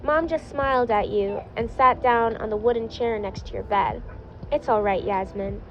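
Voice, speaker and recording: High-voiced woman narrating a story over music. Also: moderate pace. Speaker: fan fiction reader. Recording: dull lo-fi audio.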